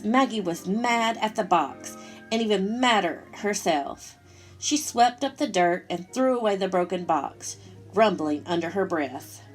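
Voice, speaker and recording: A woman storyteller reading a picture book aloud to children in an expressive way, talking directly to her listeners. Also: medium pitch. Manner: expressive, asks the listeners questions.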